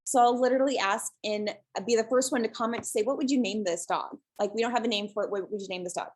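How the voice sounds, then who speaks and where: Calm woman, webinar presenter on a video call; soft, unhurried, hesitant fillers. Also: on the high side. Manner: relaxed, many ums, soft and calm. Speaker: guest presenter, shelter social media creator.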